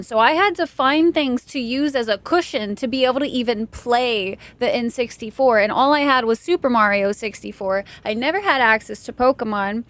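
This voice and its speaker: A young woman from Texas streaming a game, chatting casually with her viewers, self-deprecating and quick to laugh. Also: a little high, fairly bright tone. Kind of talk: casual chat with stream chat while gaming.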